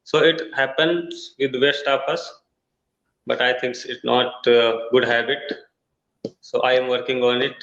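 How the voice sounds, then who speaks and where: A man from India with an Indian English accent, a Hindi native speaker learning English, talking haltingly in an online tutoring conversation over a video call. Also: medium pitch, steady tone, creaky, fairly bright tone. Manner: halting, searching for words with many uh fillers. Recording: echoey, dull video-call audio.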